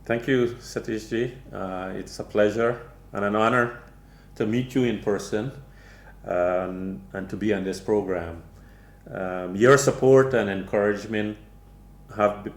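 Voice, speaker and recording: A man in his sixties from Guyana, a guest speaking in an interview, slow and measured with many pauses and fillers. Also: lowish voice, steady tone, echoey room. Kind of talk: interview conversation.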